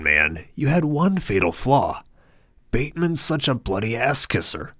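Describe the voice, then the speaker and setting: A man's voice: fairly low pitch, a bit bright, dry recording, studio quality, voice pushed up. A man voicing a snobbish, dismissive businessman with a plummy, condescending drawl. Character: snobbish, dismissive British businessman, middle-aged.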